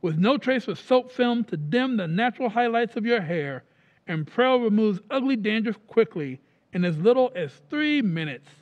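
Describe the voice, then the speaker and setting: A man's voice: high voice, expressive, normal speed, lo-fi sound. A man announcing in the style of a 1940s radio show, reading a sponsor's advertisement. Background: music.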